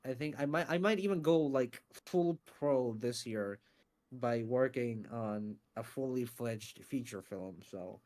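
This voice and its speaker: A man being interviewed about his voice acting and scriptwriting, talking in a casual, animated way with lots of fillers and some swearing. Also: mid-range voice, a little slow. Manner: animated, swears freely, many fillers and restarts. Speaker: interview guest, voice actor and scriptwriter.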